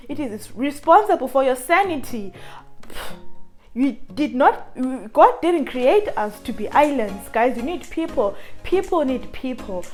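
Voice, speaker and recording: A woman with a Zimbabwean accent hosting a motivational advice vlog, speaking emphatically to her viewers and repeating phrases for stress. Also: high voice. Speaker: vlogger, channel host.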